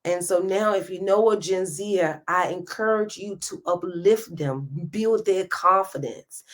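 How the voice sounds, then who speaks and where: A woman in her thirties hosting a live stream, giving a passionate motivational talk straight to her viewers. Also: medium pitch. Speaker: host.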